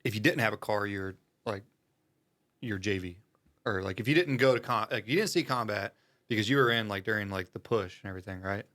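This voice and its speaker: A man's voice: fairly low pitch, brisk, a bit bright. A man chatting casually and informally as a podcast guest, telling stories from military service in slangy everyday speech. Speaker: podcast guest, Marine Corps veteran.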